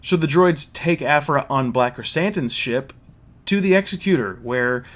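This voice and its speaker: A man casually recapping a story as a reviewer, with an animated manner and mocking asides. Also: mid-range voice, a little slow. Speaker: reviewer hosting a fan recap. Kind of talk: casual spoken plot recap and commentary.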